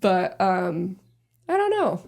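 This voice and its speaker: A woman in her thirties with a deep voice, chatting casually and rambling a little as a podcast guest. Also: slow pace, vocal fry. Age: 30s. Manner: relaxed, rambling, many fillers. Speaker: podcast guest, host's sister. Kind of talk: casual family chat.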